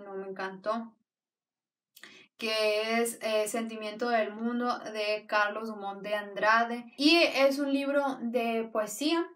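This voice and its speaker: A woman talks through her favorite books in a chatty, enthusiastic way, circling back and restarting her sentences as she goes. Her voice sits at an average pitch and sounds slightly distant from the mic. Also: a bit bright. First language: Spanish. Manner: enthusiastic, rambling with frequent restarts. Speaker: book vlogger. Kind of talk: casual book review talk.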